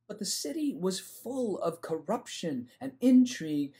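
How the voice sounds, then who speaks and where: Male storyteller, middle-aged. High, mellow voice, expressive read-aloud for children. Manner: emphatic, animated storytelling. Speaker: storybook reader. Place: indoors, home room with bookshelf.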